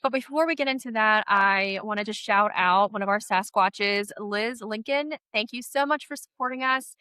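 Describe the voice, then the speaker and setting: A woman co-hosting a casual podcast chat, chatty and animated, sounding stuffy from a head cold. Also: mid-range voice, normal speed, clear, resonant tone, no room sound. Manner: chatty, animated, laughs often. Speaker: podcast co-host.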